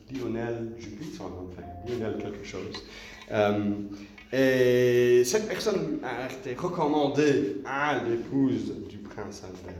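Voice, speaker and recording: A man giving a relaxed, chatty sermon, telling a story to the congregation in a church. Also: medium pitch, a little hiss or hum. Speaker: preacher. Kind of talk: conversational sermon, retelling a film. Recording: echoey church from a distance.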